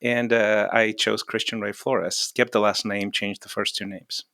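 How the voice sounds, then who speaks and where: Bright-toned man, stammering eagerly. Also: fairly low pitch, level tone, a little slow, vocal fry, dry recording, studio quality. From Russia. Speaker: podcast guest. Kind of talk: podcast interview.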